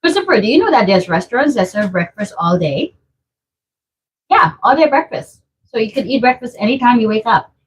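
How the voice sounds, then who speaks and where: A woman's voice: a little high, very animated, fairly quick, breathy edge, low-quality recording. A woman from Trinidad with a Trinidadian accent, chatting casually on a live stream at home and reading out viewer comments in a playful way. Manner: chatty and playful, laughing often. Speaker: vlogger hosting a live stream.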